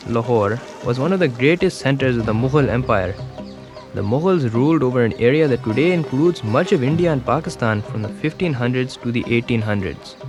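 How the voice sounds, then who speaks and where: A man voicing a young village schoolboy, telling his story in a calm, measured documentary voice-over. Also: mid-range voice, natural voice. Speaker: documentary narrator. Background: music.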